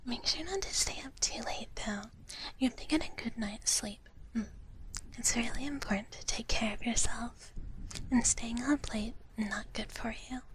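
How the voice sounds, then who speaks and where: A woman streamer whispering softly and gently to her viewers in a breathy, caring ASMR style, close to the microphone. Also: fairly high pitch, moderate pace, rough recording. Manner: refers to self in third person. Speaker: live streamer.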